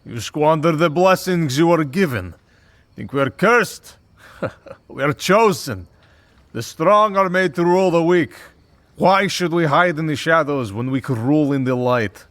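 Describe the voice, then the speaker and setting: A man's voice: on the high side, animated, a little slow, a bit bright. A man voicing a cocky, predatory vampire villain in an audio roleplay, with a mocking, drawling, theatrical delivery. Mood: scornful, boastful. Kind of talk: scripted audio roleplay, ASMR drama.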